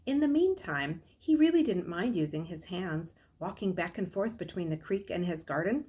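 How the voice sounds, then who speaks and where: A middle-aged woman reading a novel aloud as a storyteller, in expressive narration. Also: fairly low pitch, normal speed. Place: small furnished room at home, indoors.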